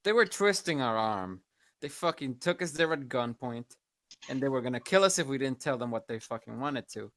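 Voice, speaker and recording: A man's voice: medium pitch, lively intonation, moderate pace, fairly bright tone, dry recording. A man voicing a hard-bitten, foul-mouthed female starship pilot in a tabletop game, blunt and argumentative. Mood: angry, insistent. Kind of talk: improvised tabletop role-play.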